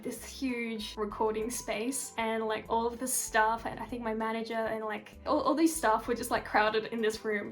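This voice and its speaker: A young woman with an Australian accent, a singer answering interview questions in a casual, upbeat, chatty way. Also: on the high side. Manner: chatty, upbeat, frequent "like" and "kind of".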